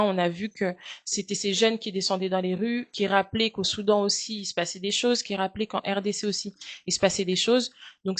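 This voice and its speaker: A French woman in her thirties answering interview questions, speaking in a measured, earnest way with frequent hesitant fillers. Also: medium pitch. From France. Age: 30s. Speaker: guest, charity founder.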